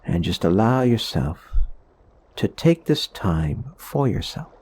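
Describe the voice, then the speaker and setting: A man guides a meditation with a husky, somewhat low voice. He speaks very slowly and gently, pausing between phrases as he explains things in a soothing manner. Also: very animated. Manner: gentle, unhurried, explanatory with pauses.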